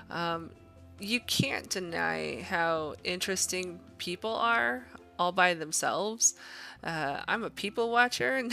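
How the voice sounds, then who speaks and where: A woman's voice: lowish voice, vocal fry, bright, forceful tone. A woman art instructor narrating an informal drawing tutorial, explaining conversationally as she thinks aloud.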